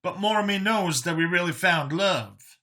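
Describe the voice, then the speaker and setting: A man voicing an earnest older male escaped captive in an audiobook, explaining past hardship in a halting, emphatic way. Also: sits high, animated, bright, forceful tone, voice pushed up, exaggerated voice. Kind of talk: audiobook character dialogue.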